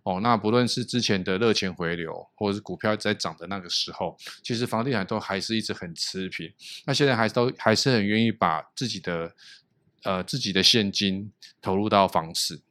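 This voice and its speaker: A low-voiced man with a Taiwanese Mandarin accent hosts a podcast. He talks at length in a casual, explanatory way, laying out his points as he goes. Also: a little fast, fairly bright tone. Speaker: podcast host.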